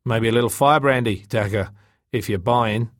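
A man's voice: medium pitch, moderate pace, dry recording, studio quality, close to an everyday voice. Man playing a weary, stubborn spaceman. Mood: firm. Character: weary, stubborn spaceman, still hoping to leave.

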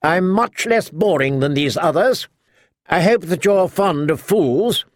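A man voicing a sharp-tongued old noblewoman in an audiobook, imperious, blunt and talkative. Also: fairly high pitch, animated, a little slow, no room sound, clean studio sound, pitched above the performer's own voice. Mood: tart, bossy. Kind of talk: audiobook character acting.

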